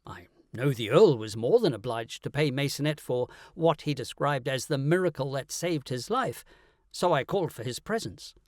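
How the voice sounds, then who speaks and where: A man reading a scripted first-person story as an audiobook narrator, in an animated, dramatic way. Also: medium pitch, moderate pace, no room sound, clean studio sound.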